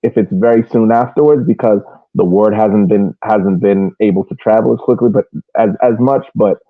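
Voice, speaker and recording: A man's voice: on the low side, fairly even intonation, normal speed, dry recording. A man co-hosting a sports podcast in casual chat, often repeating words as he restarts phrases. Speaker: soccer podcast co-host. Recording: tinny phone-like line, dry.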